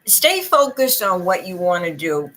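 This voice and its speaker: A woman's voice: lowish voice, big pitch swings, a little slow, fairly bright tone. A woman with a Boston accent giving chatty, long-winded tarot readings on a live stream. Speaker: psychic reader.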